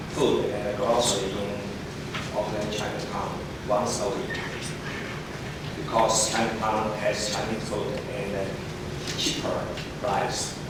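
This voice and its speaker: Hesitant man speaking halting English. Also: fairly low pitch. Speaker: public commenter. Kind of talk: public comment at a board meeting. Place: large public meeting chamber. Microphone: body camera across the room. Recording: echoey hall heard from far off, noisy.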